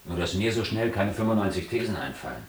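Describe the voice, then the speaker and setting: A man playing an ironic, bookish East German tippler in a radio play. His voice is fairly low, in a roomy space, and he tells his escapades with mock-learned wit. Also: animated, quick pace, lightly acted. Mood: dreamy wry. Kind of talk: radio play narration and dialogue.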